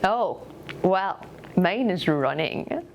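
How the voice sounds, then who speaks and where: A woman's voice: on the low side, lively intonation, extremely slow, slight background noise, poor audio. A middle-aged woman with a Scottish accent, an upbeat and articulate company executive answering questions in a corporate interview.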